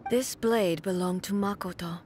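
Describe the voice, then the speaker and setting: A woman playing a proud, resolute immortal warrior goddess in a video game, speaking in formal, grave, measured declarations. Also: mid-range voice, darkish tone, soft background music, natural voice. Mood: reflective. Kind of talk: scripted video game dramatic acting.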